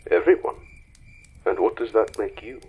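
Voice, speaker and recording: An actor playing a tender older king and father in an audio drama, gentle, measured and formal. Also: fairly low pitch, lively intonation, slightly rough, narrow, tinny audio. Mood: fond, wry.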